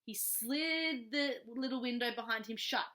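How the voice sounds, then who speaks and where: A woman narrates a children's storybook in a high, bright voice. Her reading is expressive and animated, with small playful asides to the listener. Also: unhurried. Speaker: storybook reader.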